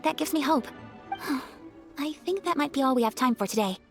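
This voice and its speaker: A woman voicing a gracious young noblewoman in a video game, speaking politely and gently with formal, earnest phrasing. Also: sits high, animated, moderate pace, over loud music. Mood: tender, earnest.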